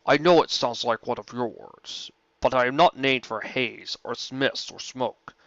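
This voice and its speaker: Ancient dragon played by a man: huge, courteous, deliberate, mid-pitched storybook character, slightly muffled sound. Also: a bit bright, no echo. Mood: calm, hospitable. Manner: formal, measured, deliberate.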